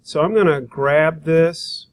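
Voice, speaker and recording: Higher-voiced man giving an informal software tutorial. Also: slow. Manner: informal, thinking aloud while working. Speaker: graphic design instructor.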